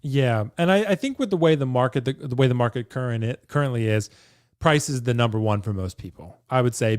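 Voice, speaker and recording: A man in his early thirties with a lively, animated voice, hosting a casual chat. He often checks in with "right?" and repeats "like I said." The recording is dry and close. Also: medium pitch, dry recording. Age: 30s. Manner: chatty, says "right?" and "like I said" often. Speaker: host.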